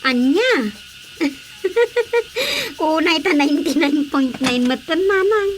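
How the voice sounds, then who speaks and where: A woman voicing an excitable young villager with a squeaky voice and an Ilocano accent in a radio drama comedy. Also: slow, exaggerated voice. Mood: surprised, teasing. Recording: faintly noisy radio drama track.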